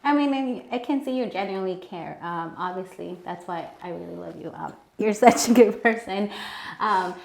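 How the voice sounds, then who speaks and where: A woman's voice: mid-range voice, normal speed, creaky. Friendly woman host, echoey room. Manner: friendly, encouraging, frequent "like" fillers. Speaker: podcast host. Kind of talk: casual interview hosting.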